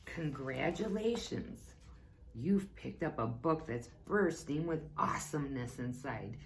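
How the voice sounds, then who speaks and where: Woman, teacher reading aloud, slow deliberate delivery, playful asides, faint background hum. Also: deep voice. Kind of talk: read-aloud book lesson.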